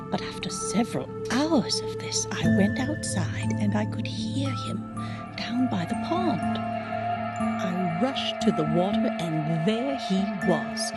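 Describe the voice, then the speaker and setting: A woman playing a haunted, grieving small-town mother in a hushed audio drama monologue. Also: mid-range voice. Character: haunted grieving small-town mother recalling her drowned son's return. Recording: loud music under the voice. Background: eerie underscore music with drone.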